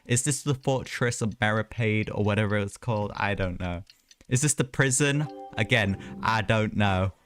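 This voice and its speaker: A man's voice: on the low side, moderate pace, fairly bright tone, no room sound. A man livestreaming a video game, giving casual, chatty commentary with rambling, self-mocking asides. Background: game music.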